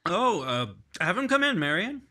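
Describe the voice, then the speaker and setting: A man's voice: high-pitched, sing-song, normal speed, pushed and bright, no room sound, studio quality, full character voice. A man playing a nervous, scheming newspaperman. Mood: guarded. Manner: smooth but hesitant, stammering "I, I". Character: nervous, scheming middle-aged male newspaperman. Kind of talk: 1950s radio mystery acting. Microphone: headset.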